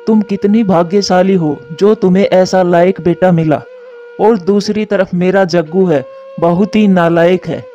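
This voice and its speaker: A man's voice: sits high, dark tone. A man voicing a weary, ageing father bird in a cartoon, lamenting earnestly and plaintively, on a dull lo-fi recording. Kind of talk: Hindi cartoon voice acting.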